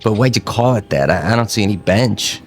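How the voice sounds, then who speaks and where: An actor playing a curious, literal-minded workmate in a radio drama, talking plainly. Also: male voice, lowish voice, animated, brisk, slight background noise, close to an everyday voice. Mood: puzzled. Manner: plain, questioning.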